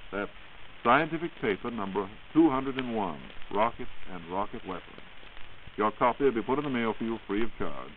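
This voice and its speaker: A faintly noisy, narrow-band vintage radio recording of an American male host with a fairly low voice. He announces and puts questions in the courteous, formal scripted style of the 1940s. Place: radio studio.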